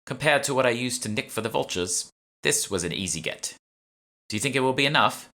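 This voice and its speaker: A man voicing a cocky, sharp-tongued woman con artist in an audiobook, with a breezy, haughty manner. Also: medium pitch, expressive, brisk, voice pushed up. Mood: flat, cocky. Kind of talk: audiobook character dialogue.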